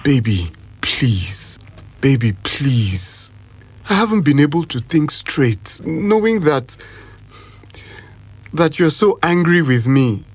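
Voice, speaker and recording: A man's voice: fairly high pitch, sing-song, slow pace, pauses often, husky, exaggerated voice. An actor playing an anxious young Nigerian man with a stammering, pleading manner in an audio drama. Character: pleading, apologetic young boyfriend, anxious smooth-talker.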